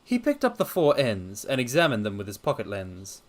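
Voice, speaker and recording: A man's voice: on the low side, huge pitch swings, normal speed. A man reading a classic detective story aloud as dramatic narration.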